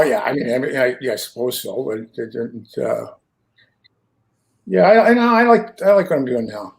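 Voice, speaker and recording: A man's voice: mid-range voice, darkish tone. A man, a hockey broadcaster, chatting casually and rambling with plenty of fillers on a video call. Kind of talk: casual chat.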